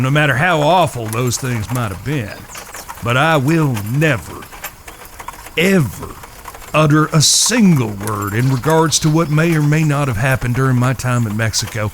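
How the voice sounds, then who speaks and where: Male actor as folksy, courteous Old West gunslinger, forceful bright tone, slight background noise. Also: mid-range voice. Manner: folksy, formal old-fashioned courtesy, drawn-out emphatic phrasing. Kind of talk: Western audio drama acting.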